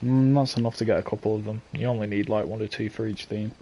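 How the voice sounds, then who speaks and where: A relaxed young man with an English accent, talking loosely to his viewers as he streams. His voice is mellow and dark-toned, with a faint hiss of noise behind it. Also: fairly low pitch, quick pace, low-quality recording. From England. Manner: relaxed, offhand, chatty with viewers. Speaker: streamer hosting a car meet. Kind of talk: casual gaming stream chat.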